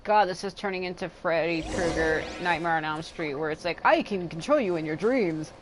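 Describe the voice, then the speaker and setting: A woman's voice: on the low side, moderate pace, noisy background. A woman livestreamer giving casual gameplay commentary, thinking aloud in a conversational way. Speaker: game livestreamer. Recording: loud game music behind the voice.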